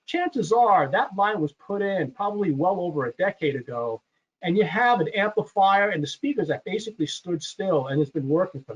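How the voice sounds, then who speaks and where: A man presenting a product webinar in a conversational, explanatory way, stressing his key points. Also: a little high. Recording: dull, lo-fi webinar audio.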